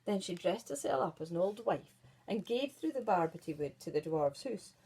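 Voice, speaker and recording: A woman with a Scottish accent reading a children's fairy tale in Scots as an expressive storyteller. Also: medium pitch, moderate pace, breathy edge, low-quality recording.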